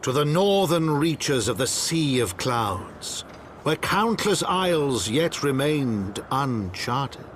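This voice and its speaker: A man's voice: medium pitch, big pitch swings, slow pace, background noise. A man playing a grave storyteller who narrates an epic fantasy game in formal, portentous prose. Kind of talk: dramatic scripted game narration.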